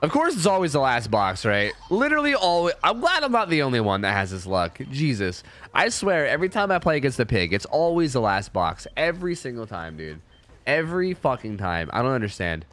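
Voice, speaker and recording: Sniffly young man with lively pitch. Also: a little fast. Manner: animated, profane, rambling with restarts and "like" fillers. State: sniffly with a cold. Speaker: gameplay reviewer. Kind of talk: casual gameplay commentary.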